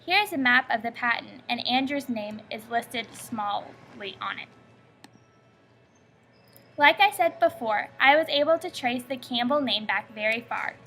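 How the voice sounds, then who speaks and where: Bright high-voiced young woman reading aloud. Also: a little slow, faint music behind. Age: young adult. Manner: steady scripted recitation. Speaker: student presenter. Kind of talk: reading a school family-history presentation.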